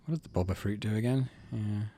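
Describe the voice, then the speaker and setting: A man's voice: on the low side, fast talker, dark tone, a little hiss or hum. A man hosting a game playthrough, switching between casual commentary and reading story text aloud. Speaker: gaming channel host.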